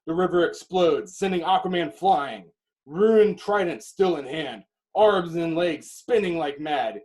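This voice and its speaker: A man narrates an action script dramatically. His voice sits slightly high, and he breaks the directions into punchy, clipped phrases with pauses. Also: expressive, a little mellow, poor audio. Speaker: narrator reading stage directions.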